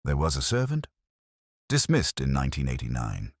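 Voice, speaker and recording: A man reads as an uneasy aristocrat, restrained and formal. The voice is very deep and dry, guarded in the manner of a mystery audiobook. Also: wildly swooping pitch, normal speed, dry recording, heavily acted. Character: thin, restrained, uneasy aristocratic male landowner.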